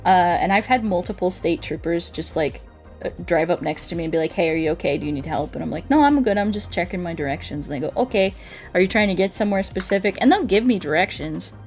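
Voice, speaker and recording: Young American female streamer, slightly low voice, informal and rambling storytelling delivery, faint music behind. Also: lively intonation, quick pace. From United States. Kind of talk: casual livestream chat while gaming. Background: background music.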